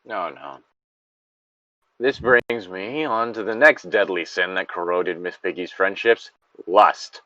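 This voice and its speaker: A man's voice: low voice, slow pace, pushed and bright, no echo, lo-fi sound. A man co-hosting a read-aloud with casual, sweary, self-deprecating commentary. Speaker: co-host reading a story aloud.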